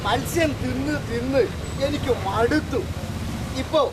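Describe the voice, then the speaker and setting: A man's voice: very high-pitched, measured pace. A man voicing a scheming, hungry male crane in a live street puppet show, sly and gloating. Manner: sly, gloating, turns menacing. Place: outdoor street stage. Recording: loud outdoor crowd and traffic noise.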